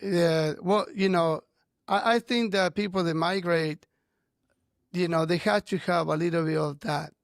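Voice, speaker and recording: A man hosting an interview, a native Spanish speaker who talks haltingly with many "right?" checks. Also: fairly high pitch, no room sound, clean studio sound. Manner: halting, frequent "right?" and "you know". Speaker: podcast host. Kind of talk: interview conversation.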